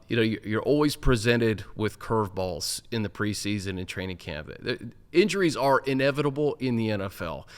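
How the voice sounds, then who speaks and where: A man's voice: medium pitch, smooth. A man hosting a team sports podcast, speaking conversationally and thinking aloud with many fillers. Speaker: podcast host. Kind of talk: casual sports talk.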